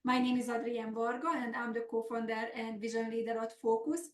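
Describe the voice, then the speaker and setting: Middle-aged hungarian woman running a business webinar, kinda high voice, echoey room. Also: little pitch movement, fairly quick. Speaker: business coach hosting a webinar. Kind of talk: semi-scripted webinar presentation.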